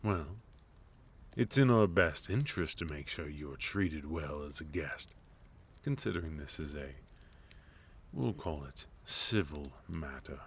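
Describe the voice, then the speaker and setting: Male actor as sophisticated villain leader for ASMR roleplay: extremely low, unhurried, formal, quietly threatening, faint noise behind. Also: expressive, heavily acted. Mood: courteous, composed. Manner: formal, polite, measured, with deliberate pauses. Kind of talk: ASMR roleplay, intimate second-person acting.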